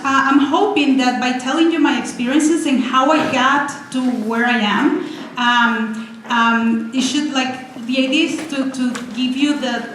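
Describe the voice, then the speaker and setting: A woman in her forties from Venezuela, giving an energetic, chatty talk with slides as a guest speaker at a college. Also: high voice. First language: Spanish. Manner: excited, chatty, many restarts. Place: college lecture room. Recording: echoey hall, faint noise.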